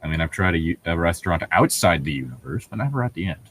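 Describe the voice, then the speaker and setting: A man's voice: sits low, big pitch swings, fast talker, a bit bright, a little hiss or hum. A man bantering casually as a co-host, jokey and opinionated, swearing freely. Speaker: co-host reacting to a fan fiction reading.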